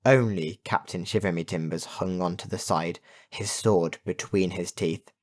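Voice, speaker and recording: Low-voiced man narrating a children's story. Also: measured pace. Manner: expressive storytelling with put-on character voices. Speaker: audiobook narrator.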